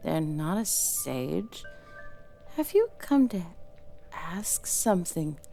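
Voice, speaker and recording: A woman's voice: fairly low pitch, very animated, slow pace, creaky, dark tone, faint music behind, exaggerated voice. A woman playing a bitter, mocking imprisoned dragon soul in a dramatic audio roleplay, with a theatrical, taunting delivery. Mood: cold, suspicious.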